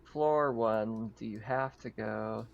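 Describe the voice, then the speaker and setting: A man's voice: on the low side, takes it slowly, gravelly, lo-fi sound, slight background noise. A man running a tabletop horror game as game master over online voice chat, describing scenes in casual, conversational talk. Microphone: online voice call.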